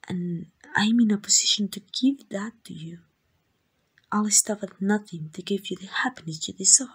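A woman with a Mexican accent playing a tender, devoted queen in a romantic ASMR roleplay, speaking in gentle, intimate, breathy whispers. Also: mid-range voice. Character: tender, devoted queen in secret love with a commoner.

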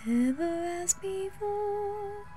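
High-voiced woman singing slowly over music. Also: lively intonation. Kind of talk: slow soft singing of a ballad. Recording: music behind the voice. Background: soft music.

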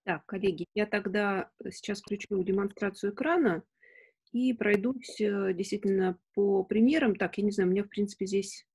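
A woman's voice: medium pitch, moderate pace, darkish tone, husky. A woman in her forties casually and tentatively walking through a webinar presentation on a video call from home. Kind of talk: casual screen-share presentation. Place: indoor room at home, seated.

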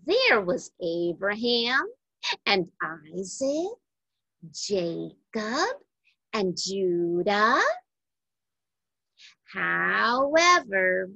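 A woman reading a children's Bible story aloud, with deliberate, emphatic phrasing for young listeners. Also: mid-range voice, sing-song, gravelly, bright, forceful tone, no echo, poor audio.

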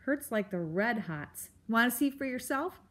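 A woman's voice: on the high side, animated, moderate pace, fairly bright tone. A woman voicing a cantankerous old male storybook porcupine, blustering and exclaiming crude, colourful insults. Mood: gruff, grumbling.

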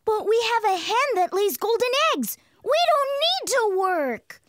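A woman playing an eager, greedy little boy in a children's cartoon, wide-eyed and excitable. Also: very high-pitched, unhurried, slight background noise, exaggerated voice. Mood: eager, boastful.

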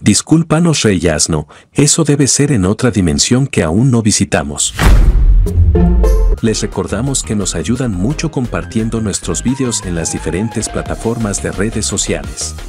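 A man's voice: fairly low pitch, lively intonation, a little slow. A man narrating a mocking commentary voice-over, scornful and sarcastic, with music behind. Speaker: channel narrator.

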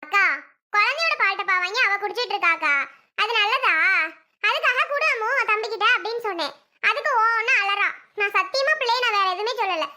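A woman's voice: extremely high pitch, animated, fairly quick, sharp tone, heavily acted. A woman voicing a scolding, defensive, exasperated aunt in a family drama. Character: scolding young aunt, exasperated and self-justifying.

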